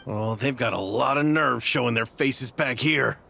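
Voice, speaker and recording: A man's voice: medium pitch, moderate pace, gravelly, sharp tone, a little hiss or hum. A man voicing a hot-headed, indignant desert clansman in a video game, blunt and accusing. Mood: angry, accusing.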